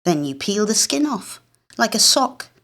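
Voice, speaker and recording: A high-pitched young girl, played by a woman reader, the bossy elder sister in a storybook tale. She speaks with scornful emphasis and great self-importance. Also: big pitch swings, measured pace, a bit bright, full character voice.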